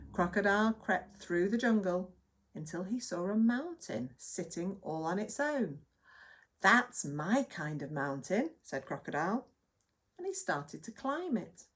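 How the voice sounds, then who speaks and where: Woman, children's storytelling, nursery teacher, unhurried, very varied pitch, dramatic emphasis, soft huskiness. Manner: dramatic emphasis, drops to hushed tones.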